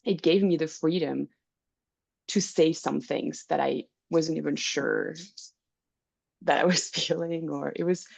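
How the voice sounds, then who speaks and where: A woman's voice: deep voice, fairly even intonation, unhurried, creaky, darkish tone, slightly rough, no room sound. A woman from France, a circle facilitator talking earnestly in casual chat on a video call, laughing often.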